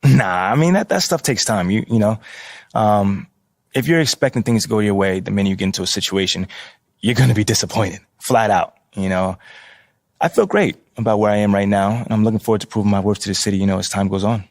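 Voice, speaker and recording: An actor playing a confident, charming young American basketball star in a video game, easygoing, playful and slangy. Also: male voice, lowish voice, fast talker, dry recording, studio quality, lightly acted. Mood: confident, relaxed. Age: young adult. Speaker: pro basketball player character. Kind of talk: casual video game cutscene dialogue.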